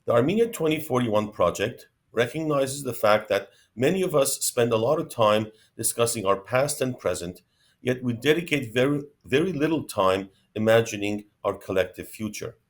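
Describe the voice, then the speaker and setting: A man's voice: medium pitch, lively intonation, moderate pace. A man hosting an interview, reading formal, prepared introductions and questions. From Canada. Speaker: host.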